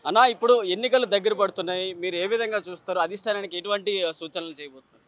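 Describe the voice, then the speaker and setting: A man's voice: high-pitched, fast, fairly bright tone. A young man in his twenties working as a news reporter, putting field interview questions outdoors on a handheld mic. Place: outdoors on a city roadside. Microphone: handheld microphone.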